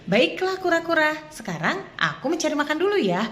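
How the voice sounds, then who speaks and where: A woman's voice: high-pitched, very animated, a little fast, a bit bright, voice pushed up. A woman voicing a cocky, boastful young mouse-deer in a children's fable, exclaiming and dismissive. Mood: breezy, sure.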